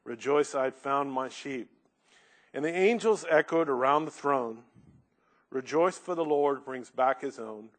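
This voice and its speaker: A man preaching a sermon, loud and earnest, reading hymn verses with rising force. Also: medium pitch, unhurried.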